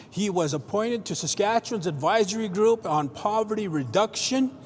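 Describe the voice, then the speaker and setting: A man's voice: high-pitched, a little mellow, in a large hall, a little hiss or hum. A man hosting a virtual graduation ceremony, introducing guests in an earnest, unscripted way with many fillers and restarts. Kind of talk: spoken introduction at a virtual convocation.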